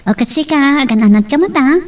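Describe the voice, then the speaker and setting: A woman's voice: high voice, animated, a little fast, a little mellow, far from the mic. A woman with an Ilocano accent playing a friendly young restaurant owner in an audio romance drama. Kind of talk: Filipino radio-style romance drama acting.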